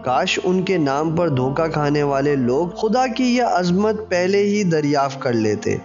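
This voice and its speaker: A man's voice: on the high side, expressive, measured pace, a little mellow. A man reading a religious novel as scripted narration, with a formal literary delivery. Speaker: audiobook narrator. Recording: dull lo-fi recording with music behind. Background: background music.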